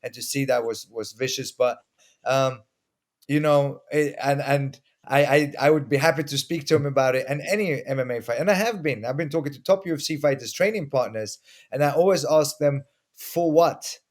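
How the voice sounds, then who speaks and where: A middle-aged man from Sweden talks earnestly in a casual interview. His voice sits at an ordinary pitch, and he often restarts his sentences as he explains things through stories. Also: moderate pace. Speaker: guest, martial arts instructor.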